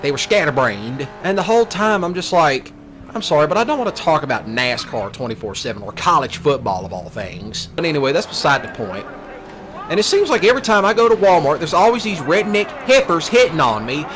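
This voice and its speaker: A man's voice: a little high, fairly bright tone, slight background noise. A young man with a Southern US accent rants and rambles casually over a let's play, in a colloquial, jokey, self-deprecating way. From Mississippi, US. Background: game music and vehicle sounds.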